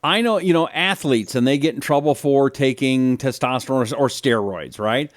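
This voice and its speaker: A man co-hosting a podcast, chatting in a joking, animated way. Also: mid-range voice, normal speed. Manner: joking, animated, does silly voices. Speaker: co-host. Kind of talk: casual chat.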